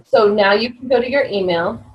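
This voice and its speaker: A woman's voice: fairly high pitch, brisk, dull audio. A woman directing a remote recording session, giving informal, plain step-by-step instructions in a directive way. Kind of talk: informal spoken instructions on an online call.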